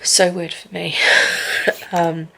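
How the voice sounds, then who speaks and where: Weary gravelly woman. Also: level tone, takes it slowly, sharp tone. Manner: weary, low-energy talk. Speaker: vlogger. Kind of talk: personal video diary. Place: apartment at home.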